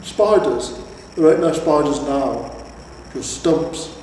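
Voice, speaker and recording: A man's voice: on the high side, animated, slow pace, a little mellow. A man voicing a glum troll in a storybook reading, rambling and complaining in a sorry, nostalgic way. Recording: echoey reverberant room, distant, faint hiss.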